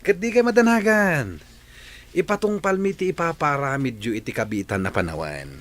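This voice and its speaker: A man's voice: on the high side, expressive, normal speed, lightly acted. A man acting as an obliging henchman in a radio play. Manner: obliging to the boss, grumbling alone. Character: henchman, adult man, eager yes-man.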